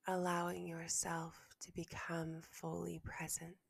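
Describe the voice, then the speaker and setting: A woman with a soft, breathy, slightly low voice guides a meditation, often slipping close to a whisper. She speaks very slowly and soothingly, leaving long pauses, with a creaky edge to her words. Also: monotone, dry recording. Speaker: meditation guide and podcast host.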